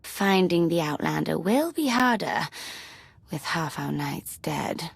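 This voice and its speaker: An actress playing a sardonic, mocking villainess in a video game, with playful menace and sarcasm. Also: female voice, low-pitched, lively intonation, takes it slowly, creaky, breathy edge, no echo, full character voice. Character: sardonic, mocking villainess taunting a ruthless ruler.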